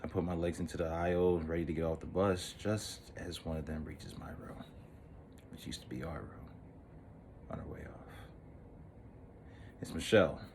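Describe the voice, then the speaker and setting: A very deep-voiced man as an earnest lovestruck boy. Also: vocal fry, noisy background. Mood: uneasy, subdued. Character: earnest, lovestruck thirteen-year-old boy confessing wistfully. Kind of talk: dramatic monologue, intimate storytelling.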